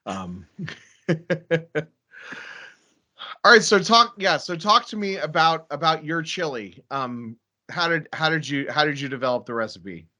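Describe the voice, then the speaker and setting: A man's voice: on the high side, sing-song, unhurried, long pauses, bright, forceful tone. A man from Ohio hosting a casual podcast interview, often stammering and repeating words as he forms questions. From Ohio, United States. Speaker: podcast host.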